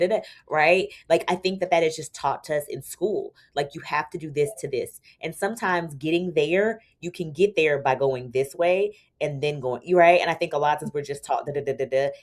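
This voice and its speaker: A woman in her forties with a notably low voice speaks as a podcast guest. She tells her stories casually, acting out what people said, and laughs often. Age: 40s. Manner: animated storytelling, acts out quoted dialogue. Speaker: podcast guest, attorney turned entrepreneur. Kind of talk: casual interview chat.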